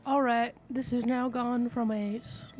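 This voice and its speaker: Female tabletop player, highish pitch, playful and a bit bossy, casual delivery. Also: steady tone, measured pace, heavy background noise. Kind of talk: casual in-game group chat.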